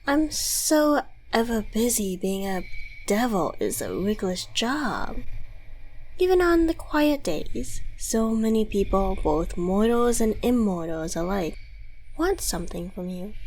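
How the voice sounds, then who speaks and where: Woman playing a suave, unhurried devil dealmaker. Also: mid-range voice, lively intonation, a little mellow, slight background noise, rough recording. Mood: boastful, scornful. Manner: theatrical, silky, condescending politeness. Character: suave devil dealmaker, courteous, smug and menacing. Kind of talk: ASMR audio roleplay monologue.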